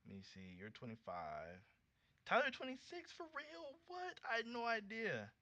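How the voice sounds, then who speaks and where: An American man in his late twenties hosting a casual livestream, chatting with viewers in a slangy, animated, conversational way. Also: very high-pitched, normal speed, no echo. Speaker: livestream host. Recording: dull lo-fi streaming audio.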